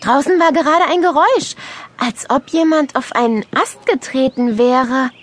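A woman's voice: sits high, moderate pace, a little hiss or hum, lightly acted. A woman playing an eager, chatty young schoolgirl who loves ponies in a children's audio story. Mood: uneasy, insistent. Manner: excited, expressive, exclaiming.

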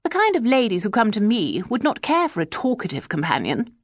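A woman's voice: fairly high pitch, wildly swooping pitch, fairly quick, husky, no room sound, studio quality, pitched above the performer's own voice, full character voice. A woman playing a haughty, crushing agency keeper. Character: stately severe woman running an employment agency.

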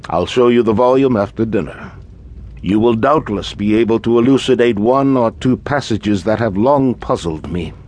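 Radio drama occultist, played by a man: learned employer, secretive, measured, formal diction. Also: on the low side, a little mellow, lightly acted. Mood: scholarly, intent. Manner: formal, erudite, measured speech. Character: scholarly, secretive male occultist, nervous employer.

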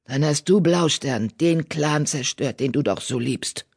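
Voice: unhurried, vocal fry, husky. A narrator voicing a fierce old female cat, a bitter former healer who accuses in a growl. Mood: menacing. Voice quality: low growl, hissing. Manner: menacing, accusatory, grim.

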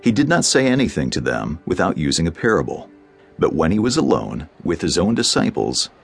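A deep-voiced man narrates scripture as a dramatized audiobook. His delivery is solemn and measured yet richly varied in pitch, with music behind him. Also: sing-song, vocal fry.

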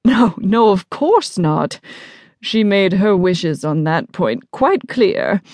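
A woman's voice: mid-range voice, sing-song, slow, darkish tone, no echo, clean studio sound. A woman voicing a haughty, smug man in an audiobook, with a pompous, condescending manner. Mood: dismissive. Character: haughty, smug adult man, a disdainful council official. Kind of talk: audiobook character dialogue.

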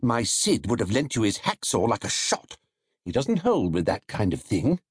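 A man's voice: on the low side, huge pitch swings, normal speed, slightly rough, dry recording, studio quality, heavily acted. A man voicing a gossipy older woman who runs a market stall, chatty and indignant.

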